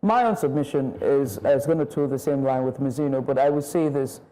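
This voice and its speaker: A woman's voice: a bass voice, mellow, poor audio. Woman presenter wrapping up. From Nigeria. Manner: measured, formal wrap-up with fillers. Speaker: news presenter. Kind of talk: closing a panel debate. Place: TV studio.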